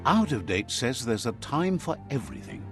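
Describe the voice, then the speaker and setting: A man playing the wry, sage narrator of an animal fable game, telling it in a measured, philosophical storybook style. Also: medium pitch, wildly swooping pitch, creaky, over music. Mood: reflective, sage.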